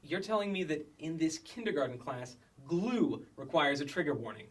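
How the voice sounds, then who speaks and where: Man playing exasperated teacher, husky voice. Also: on the high side, expressive, moderate pace. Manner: dry, formal, scolding. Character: exasperated, strict kindergarten teacher, Miss Della. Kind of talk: staged sketch comedy reading. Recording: distant stage mic.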